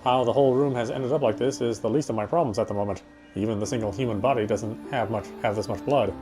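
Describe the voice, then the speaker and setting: A male game streamer reads story narration aloud in a mid-range voice. He occasionally trips over a word and picks it up again. Music plays clearly behind him. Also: mid-range voice, quick pace. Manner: stumbles over words while reading.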